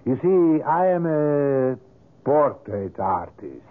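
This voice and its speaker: Formal, mysterious gentleman artist, thin radio sound. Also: on the high side, big pitch swings, slow. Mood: smooth, insistent. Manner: formal, polite, hesitant, precise. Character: courteous, sly, mysterious male portrait sculptor. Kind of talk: 1970s radio drama acting. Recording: thin lo-fi old radio broadcast.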